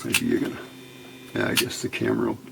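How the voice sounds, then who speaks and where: A man's voice: on the low side, completely flat delivery, a little fast, creaky, very noisy. A man giving a casual hands-on electronics tutorial, unscripted and muttering to himself as he works. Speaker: electronics hobbyist, channel host. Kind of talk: casual hands-on build tutorial, thinking aloud. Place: indoor workbench.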